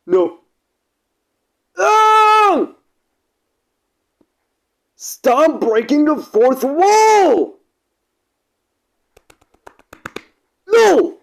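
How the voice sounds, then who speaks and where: Amateur putting on a snarky, dramatic cartoon character. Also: heavily acted. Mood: frantic. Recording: low-quality tablet recording.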